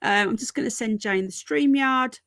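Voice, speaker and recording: A woman's voice: fairly high pitch, normal speed, a bit bright, dry recording, studio quality. A woman hosting a casual live news stream from home, chatting to viewers, repeating herself and calling them folks and darling. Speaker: livestream host.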